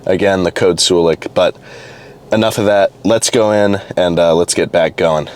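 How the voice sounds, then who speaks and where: Stuffed-up young man with a deep voice. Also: fairly even intonation, normal speed. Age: young adult. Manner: loose and rambling, with many restarts and fillers. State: hoarse and stuffed up from a cold. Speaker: fitness vlogger. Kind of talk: casual vlog talk to camera.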